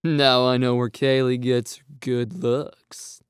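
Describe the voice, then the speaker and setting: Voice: medium pitch, little pitch movement, takes it slowly, clean tone, dry recording, clean studio sound, lightly acted. A narrator voicing a smug, falsely polite teenage boy who lays on the charm in an audio story. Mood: smooth, polite.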